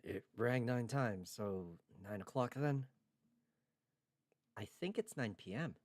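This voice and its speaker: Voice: mid-range voice, expressive, takes it slowly, no room sound, studio quality. A performer voicing a bewildered, hot-tempered young man trapped in a deadly visual novel game, questioning and agitated. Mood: puzzled.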